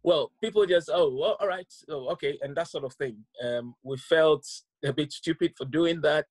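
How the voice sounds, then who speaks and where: A middle-aged pastor from Ghana with a Ghanaian accent, telling his story in a measured, reflective way over a video call from home. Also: on the high side, dry recording. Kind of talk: personal testimony on a video call. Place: home room indoors.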